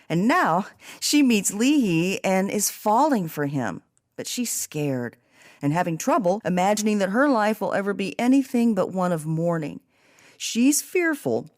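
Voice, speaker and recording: A woman hosting a podcast, discussing a book in a reflective, expressive and emphatic way. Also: medium pitch, no room sound, studio quality. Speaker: podcast host.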